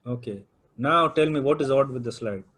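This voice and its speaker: A man's voice: medium pitch, normal speed, pushed and bright, breathy edge. A man whose first language is Urdu, teaching a project management class and prodding students with questions.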